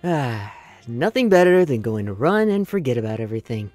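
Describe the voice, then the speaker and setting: A man's voice: medium pitch, big pitch swings, a little fast, a little mellow, no echo, faint music behind, natural voice. A man voicing a hot-headed, protective young hedgehog hero in a cartoon comic dub, dramatic and earnest. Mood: downbeat. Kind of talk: fan comic dub acting.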